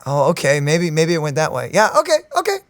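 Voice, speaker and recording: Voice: mid-range voice, wildly swooping pitch, quick pace, slight background noise. A gaming streamer in casual reaction chat over gameplay, speaking informally and inquisitively, often repeating words.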